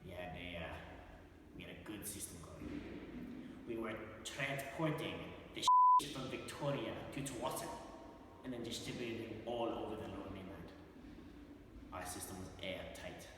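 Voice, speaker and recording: A man's voice: fairly low pitch, moderate pace, pauses often, heavy background noise, lightly acted. A young man in a student video playing a streetwise ex-con drug dealer who swaggers and swears casually. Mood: gruff. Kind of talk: student amateur video acting. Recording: echoey room, far from the mic, with music.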